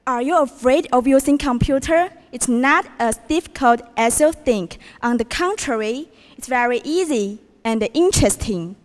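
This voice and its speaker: A woman's voice: high-pitched, animated, normal speed, hardly any pauses. A teenage girl from Taiwan with a Taiwanese accent gives a prepared contest speech in English, reciting carefully and putting questions to the audience. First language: Mandarin Chinese. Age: teens. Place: school auditorium. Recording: reverberant hall from a distance.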